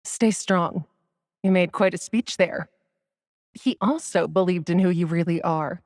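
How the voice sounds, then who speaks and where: An actress playing a shrewd, cynical young woman in a dramatic, animated video game cutscene style. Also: on the low side, unhurried, in a large hall. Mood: subdued, sympathetic.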